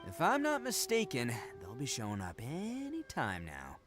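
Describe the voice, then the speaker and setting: A man's voice: medium pitch, wildly swooping pitch, moderate pace, loud music behind the voice. A man playing a brash, boastful young oni brawler in a video game, with a swaggering, slangy delivery. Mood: confident, eager.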